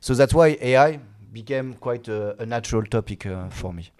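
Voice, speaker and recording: A man, a guest expert in an interview, speaking haltingly with many "uh"s and restarts. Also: mid-range voice, animated, darkish tone. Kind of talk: casual interview talk.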